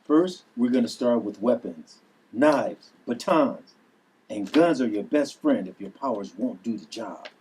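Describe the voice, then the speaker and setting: Combat trainer uncle male actor, table read: calm, tough, instructive, relaxed tone. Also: medium pitch, measured pace, breathy edge. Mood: calm, commanding. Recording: room recording with background noise.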